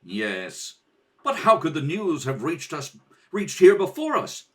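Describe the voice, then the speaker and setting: A man's voice: fairly high pitch, big pitch swings, slow, a bit bright, exaggerated voice. A man acting a brave, noble rebel prince in a radio serial, speaking earnestly and formally. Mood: dismayed.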